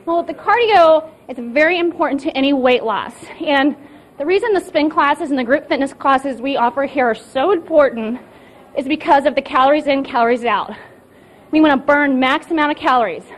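An enthusiastic woman fitness instructor with a high voice pitches her gym's classes. She answers into a reporter's handheld mic inside an indoor gym. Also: normal speed. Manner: enthusiastic, promotional. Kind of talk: live TV interview answers.

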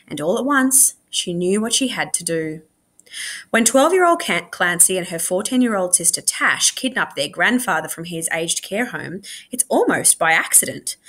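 A woman reading a children's novel aloud in an animated, expressive storytelling manner, like a school librarian. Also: mid-range voice. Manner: animated, acts out dialogue.

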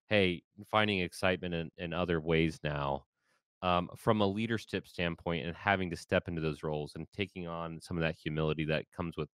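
Deep-voiced man hosting a podcast. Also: clean tone, dry recording, clean studio sound. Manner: relaxed, thinks aloud with fillers. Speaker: podcast host. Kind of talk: conversational interview. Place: home office with shelves. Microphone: studio mic on boom arm. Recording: video call.

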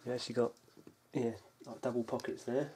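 A British man giving a casual, unscripted rundown of his kit in a low-key, halting way, as if thinking aloud. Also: level tone, mellow. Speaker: bushcraft hobbyist presenting his kit. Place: indoors on a wooden floor at home. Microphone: camera mic, a short distance away. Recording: noisy home video, clattering gear. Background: clicks and clatter of handled gear.

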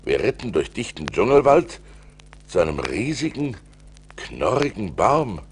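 An actor playing a seafarer who recounts perilous adventures theatrically and deliberately, in an audio play transferred from vinyl. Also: male voice, lowish voice, big pitch swings, a little hiss or hum. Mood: measured, recounting. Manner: theatrical, deliberate, swelling with suspense. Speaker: narrator. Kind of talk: dramatic storytelling in an audio play.

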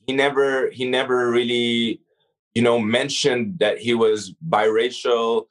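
A man with a mixed Arabic-influenced English accent talks in a casual podcast chat. He speaks at a middle pitch, reflective and searching, often restarting phrases and leaning on "you know". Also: steady tone, a little slow, slight background noise, low-quality recording. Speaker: rapper, guest.

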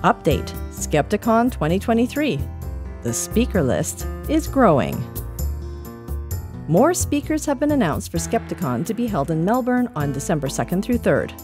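A Canadian woman presents a podcast segment in a medium-pitched voice. Her delivery swings up and down expressively as she reads and adds light asides, with music clearly playing behind her. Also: big pitch swings, normal speed. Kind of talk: reading a newsletter aloud with light asides.

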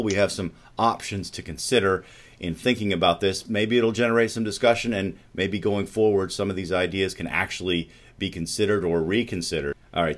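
Middle-aged male host, very low voice, conversational, talking over a video call. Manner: conversational, poses questions to co-host. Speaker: show host. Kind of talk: casual discussion show. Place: studio with dark backdrop.

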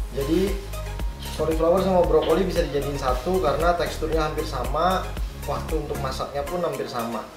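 A man in his thirties, a chef, cooking at home and explaining each step, asking questions and answering them himself. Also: on the high side. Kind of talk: casual cooking demonstration. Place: bright home kitchen, indoors. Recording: roomy kitchen with music behind. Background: background music.

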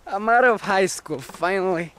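A man's voice: high voice, lively intonation, moderate pace, fairly bright tone. A young man turning eighteen, chatting casually and jokingly in an outdoor playground vlog, recorded on a camera mic. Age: young adult. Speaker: vlogger. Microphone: camera mic at arm's length.

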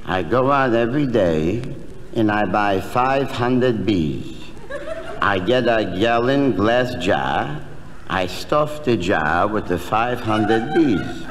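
A smug, unbothered man in a sixties comedy sketch. He speaks slowly and matter-of-factly, in a reverberant hall with clear noise. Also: lowish voice, animated. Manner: matter-of-fact, self-satisfied. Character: smug, callous, relaxed man with an absurd hobby. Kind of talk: 1960s comedy album sketch acting. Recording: echoey, noisy hall with audience.

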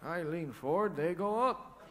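A man voicing a crude, forgetful old man from a care home who grumbles and suddenly shouts. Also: a little high, animated, a little slow, slight background noise. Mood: grumpy, crude. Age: older. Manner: grumpy, bawdy, forgetful, shouting bursts. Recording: echoey hall with loud audience laughter.

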